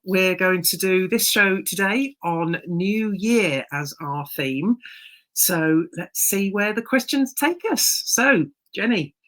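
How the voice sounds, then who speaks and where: Woman podcast co-host, slightly low pitch, friendly and halting. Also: low-quality recording. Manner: friendly, halting with uhs and restarts. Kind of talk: casual chat.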